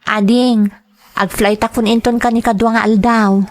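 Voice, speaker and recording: A young, strong-willed and dutiful daughter in an Ilocano radio play, played by a woman. Her voice is a little high, and she has an Ilocano Filipino accent. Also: level tone, moderate pace, lightly acted. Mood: earnest, concerned. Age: young adult. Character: determined, dutiful grown daughter seeking work abroad. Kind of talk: dramatized audio drama acting.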